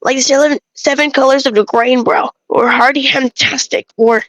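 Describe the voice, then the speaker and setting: A woman's voice: sits high, steady tone, normal speed, a bit bright, no room sound, rough recording. A woman reading aloud as an opinionated, folksy male bread enthusiast who rambles and grumbles through game dialogue. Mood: grumbling, rambling.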